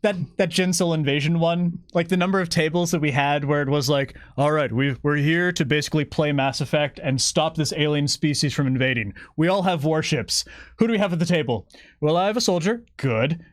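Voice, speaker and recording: A man with a slightly high voice talks casually as an interview guest. He is animated and playful, often restarting a thought or acting out imagined lines. The sound is dry, with little room echo. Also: brisk. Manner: animated, jokey, acts out imagined dialogue. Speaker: guest game developer. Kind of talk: lively casual interview chat.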